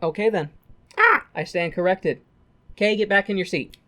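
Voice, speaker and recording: A woman's voice: lowish voice, normal speed. A woman co-hosting a podcast, recapping a story in a casual, humorous and theatrical way. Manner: theatrical, playful. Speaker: podcast co-host.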